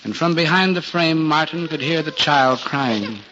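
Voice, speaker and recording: An actor as the narrator of a gentle 1940s radio Christmas tale, telling it in a measured, literary way. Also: male voice, fairly high pitch, expressive, a little hiss or hum. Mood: tender. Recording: muffled 1940s radio recording. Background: music.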